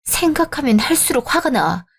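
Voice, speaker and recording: A woman's voice: high voice, sing-song, moderate pace, vocal fry. A young woman reading a radio drama story and playing its heroine, firm and insistent with a hurt edge. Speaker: narrator and heroine.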